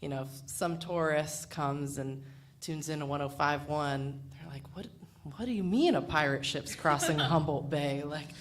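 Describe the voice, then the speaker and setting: A woman's voice: sits low, unhurried. A woman talking casually as a radio panelist in a discussion. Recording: noisy room ambience.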